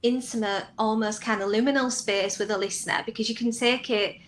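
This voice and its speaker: A woman's voice: a little high, fairly even intonation, a little fast. Woman writer interviewed, some background noise. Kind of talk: conversational interview answers.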